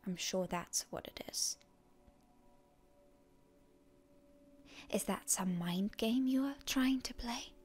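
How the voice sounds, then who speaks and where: A woman playing a proud, prickly, wounded hero girl in a whispered ASMR roleplay, suspicious and defiant toward her enemy, with a hushed, breathy voice. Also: mid-range voice.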